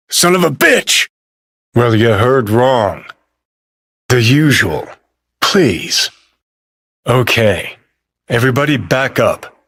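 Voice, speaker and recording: A man's voice: medium pitch, fairly bright tone. A male actor playing a cynical, hot-tempered rebel rocker in a cyberpunk video game, with a terse, sardonic, swaggering delivery. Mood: angry, urgent, then cool, sardonic.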